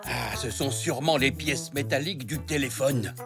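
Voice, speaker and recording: An actor playing a cheerful, playful older zebra grandfather in a children's cartoon, with an expressive, encouraging manner. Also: medium pitch, moderate pace, gravelly, over music, lightly acted. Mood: amused, appreciative. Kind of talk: animated cartoon acting.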